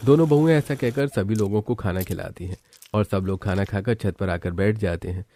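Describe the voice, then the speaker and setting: A man's voice: lowish voice, brisk, dark tone, a little hiss or hum. A man narrating a scripted cartoon story as the storyteller.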